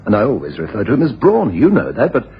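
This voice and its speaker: Kindly, excitable gentleman, hugely animated, dull hissy 1980s radio drama. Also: mid-range voice, fast. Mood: protesting. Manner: protesting, excitable, earnest. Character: kindly, trusting, agitated younger brother. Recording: dull, noisy old radio broadcast.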